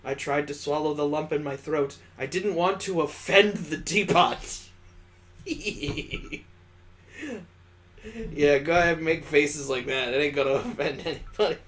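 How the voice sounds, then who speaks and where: Male gaming host, slightly high voice, expressive visual novel read-aloud, casual asides. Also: measured pace.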